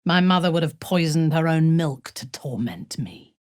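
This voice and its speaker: An actress voicing a haughty, ruthless female warrior zealot in a video game, speaking in a formal, imperious, deliberate way with a husky voice. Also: low voice, sing-song, no echo, clean studio sound. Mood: scornful, cool. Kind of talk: video game character voice lines.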